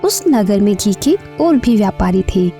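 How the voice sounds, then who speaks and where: A woman narrates a scripted story for children. Her mid-range voice is mellow and moves expressively up and down. Faint music plays behind her. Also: mid-range voice, expressive, normal speed.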